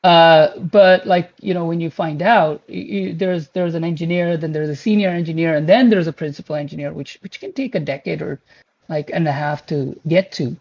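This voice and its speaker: A man's voice: a little high, steady tone, normal speed, creaky, darkish tone. A man in his forties whose first language is Pashto, speaking as a guest in a casual online talk. He is earnest and rambling, often restarting sentences and leaning on filler words. The call audio is muffled and lo-fi. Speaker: guest speaker, principal engineer.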